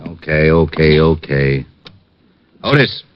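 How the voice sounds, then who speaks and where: Very deep-voiced American police lieutenant. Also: male voice, lively intonation, slow, background noise, full character voice. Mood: exasperated, weary. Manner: firm, businesslike questioning. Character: weary, no-nonsense male police lieutenant. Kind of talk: 1940s radio drama acting. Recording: dull old radio recording.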